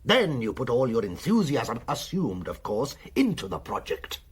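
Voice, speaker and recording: Worldly German admiral, very raspy, expressive range, cynical, patronising, theatrical, wartime radio drama. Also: male voice, mid-range voice, normal speed, full character voice. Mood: wry, cynical.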